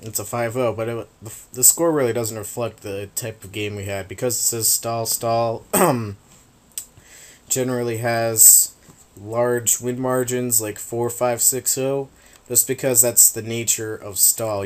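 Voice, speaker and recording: A man giving rambling, casual commentary over a video game battle, swearing offhandedly, his voice getting raspy from talking a long time. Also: mid-range voice, steady tone, unhurried.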